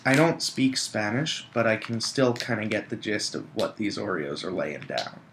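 A man's voice: lowish voice, sing-song, normal speed, noisy background. A young man co-hosting a casual chat show, rambling and self-deprecating, laughing often as he talks. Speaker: podcast co-host.

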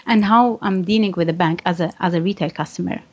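A woman's voice: lowish voice, fast talker, a little mellow. A woman from Algeria answering interview questions earnestly, with frequent "um" fillers and restarts. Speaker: guest interviewee, finance professional.

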